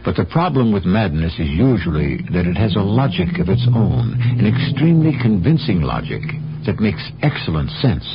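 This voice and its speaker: An actor as the wry, ominous host of a radio mystery, narrating in a deliberate, theatrical way. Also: male voice, deep voice, very animated. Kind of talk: dramatic scripted radio narration. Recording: dull old radio broadcast.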